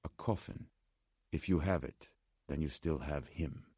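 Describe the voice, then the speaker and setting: Man acting elderly gentleman, Slavic accent, extremely low pitch, formal, deliberate, anxious undertone, storybook character, close dry sound. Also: slightly rough, no echo, heavily acted. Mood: grave, insistent. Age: older. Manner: formal, deliberate, agitated but striving to speak calmly. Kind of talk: audiobook character voice.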